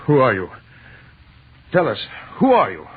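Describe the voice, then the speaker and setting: An actor playing an awestruck, frightened and insistently curious husband, stammering urgent questions in an old-time radio horror drama. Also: male voice, medium pitch, wildly swooping pitch, measured pace, breathy edge, full character voice. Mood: urgent, demanding. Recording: narrow-band old radio broadcast with hiss.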